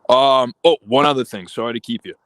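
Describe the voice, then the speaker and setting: A man's voice: mid-range voice, fairly quick, fairly bright tone, no echo. A man calling in to a podcast, speaking hesitantly with many fillers. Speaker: caller. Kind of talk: casual call-in chat.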